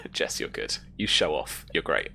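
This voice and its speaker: A woman's voice: a bass voice, big pitch swings, measured pace, vocal fry, pushed and bright, slight background noise. A woman running a tabletop roleplaying game as game master, informal and encouraging toward her players.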